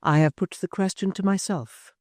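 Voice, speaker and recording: A man voicing a proud, bitter young woman in a storybook reading, speaking low and steady. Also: normal speed, no room sound, studio quality. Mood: cold. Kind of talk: audiobook character voice.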